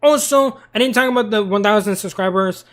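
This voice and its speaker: High-voiced tired young man streaming. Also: a little fast, fairly bright tone. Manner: rambling, talkative. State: tired, losing his voice. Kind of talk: casual live-stream commentary.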